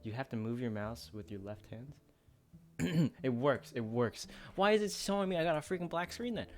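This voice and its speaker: A man's voice: medium pitch, animated, moderate pace. A man chatting casually and informally on a livestream as he plays, with a self-deprecating manner. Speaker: streamer. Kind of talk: casual livestream chat while gaming.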